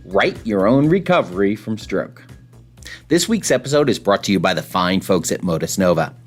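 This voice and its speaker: A man's voice: deep voice, sing-song, moderate pace, soft background music. A middle-aged man, hosting a podcast with scripted introductions and conversational interviewing. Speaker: podcast host.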